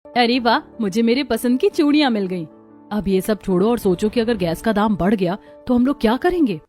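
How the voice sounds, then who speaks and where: A woman's voice: a little high, lively intonation, brisk, darkish tone, natural voice. Woman acting a village wife, music behind. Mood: concerned. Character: village women of a poor family, daughters-in-law and mother-in-law. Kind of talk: cartoon story dialogue.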